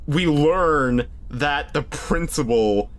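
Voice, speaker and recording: A man's voice: on the high side, takes it slowly. A man giving casual, profane commentary while playing a game with friends. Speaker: game streamer.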